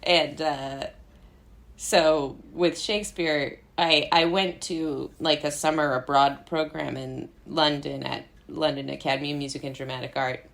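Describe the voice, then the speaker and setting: A woman in her twenties with an American accent and a low-pitched voice. She talks casually and animatedly, like a guest telling stories. Her sentences ramble and are sprinkled with "like" and giggles. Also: fairly even intonation, measured pace, vocal fry, a bit bright. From Brooklyn, United States. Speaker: interview guest, playwright and actor. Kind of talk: casual chat.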